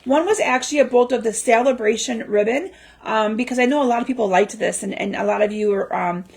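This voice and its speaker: Slightly raspy woman, chatty live host. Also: a little high, brisk. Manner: chatty, lots of ums and restarts. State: slightly raspy from a lingering cough. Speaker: crafting livestream host. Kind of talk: casual live-stream chat. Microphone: livestream mic.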